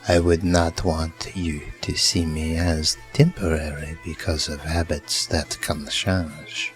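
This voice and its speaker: A man's voice: extremely low pitch, little pitch movement, slow pace, soft background music. A man playing a romantic, flirtatious French charmer with a courtly, earnest manner in an amateur audio drama. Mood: earnest, tender. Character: romantic French-speaking male charmer and idle drinker.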